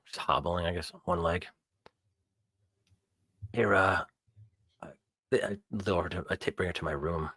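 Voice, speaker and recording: Bright-edged, lowish male roleplayer, halting. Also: dry recording, clean studio sound. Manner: halting, repeats words. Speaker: tabletop game player. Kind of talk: improvised roleplay, speaking in character.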